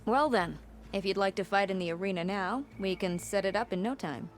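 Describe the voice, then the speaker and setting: A woman's voice: medium pitch, brisk, faint music behind, lightly acted. Woman acting a no-nonsense arena trainer. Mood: businesslike. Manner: businesslike, plain. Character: no-nonsense female arena keeper and squad trainer. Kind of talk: video game character acting.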